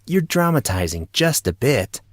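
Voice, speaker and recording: Audio drama schoolboy, teens, played by an adult man: youthful and wry, slightly husky, close dry sound. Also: medium pitch, sing-song, measured pace, dry recording, voice pushed up. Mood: skeptical. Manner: casual, youthful, blurting. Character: teenage schoolboy, earnest, wry, casual.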